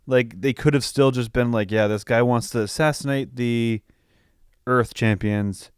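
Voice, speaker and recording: A man co-hosting a podcast, chatting casually and thinking aloud in loose, halting sentences. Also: medium pitch, fairly even intonation, smooth, no echo, studio quality. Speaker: podcast co-host. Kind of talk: casual chat, film review.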